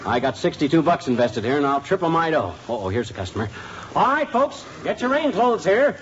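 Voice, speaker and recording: A man's voice: sing-song, a little fast. A man playing a brash, boastful middle-aged husband who talks like a huckster in a 1950s radio sitcom. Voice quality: brash, loud huckster pitch. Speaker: sitcom lead. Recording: muffled old radio recording, background hiss.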